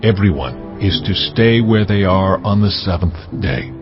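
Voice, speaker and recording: Male actor for solemn divine ruler, deep voice, authoritative, scripture drama, slightly dull recording. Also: expressive, a little slow, over music. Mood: solemn command. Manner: solemn, commanding delivery.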